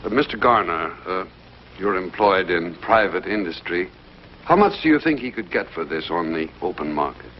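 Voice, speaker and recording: A man with an American accent playing a courteous, hesitant government official in an old TV drama, speaking formally and haltingly. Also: low voice, expressive. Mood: probing. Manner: halting, deliberate, formal and polite. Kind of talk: mid-century American TV drama acting. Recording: dull, noisy vintage TV soundtrack.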